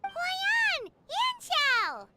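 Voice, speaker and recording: A woman voicing a small, excitable, childlike fairy companion in a video game, with a squeaky voice. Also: drawn-out words, heavy background noise, exaggerated voice. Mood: eager, concerned. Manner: excitable, refers to self by name. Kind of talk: animated game voice acting. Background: children's tinkling music.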